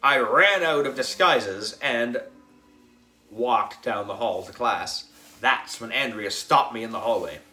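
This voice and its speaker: A man narrating a children's story as a schoolboy, in an expressive, dramatic way. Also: medium pitch, slow. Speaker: audiobook reader, narrating as a schoolboy.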